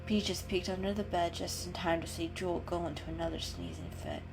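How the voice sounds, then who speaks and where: A woman's voice: fairly low pitch, sing-song, fairly quick, gravelly, poor audio. A woman giving a dramatic storybook narration as a storyteller. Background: music.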